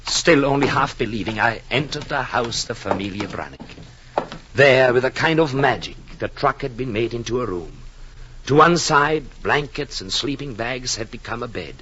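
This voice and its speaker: An actor playing an earnest, brooding European husband and expectant father who narrates his journal in solemn, literary, measured 1940s radio drama style. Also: mid-range voice, expressive, bright, forceful tone, lo-fi sound, a little hiss or hum. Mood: astonished wonder. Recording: old 1940s radio, music behind.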